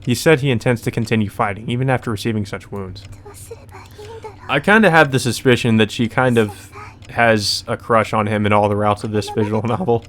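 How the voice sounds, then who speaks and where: A man's voice: lowish voice, fairly quick, a bit bright, slight background noise. A man doing a casual let's play, reading story text aloud and adding informal, sweary asides. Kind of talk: casual commentary and read-aloud narration.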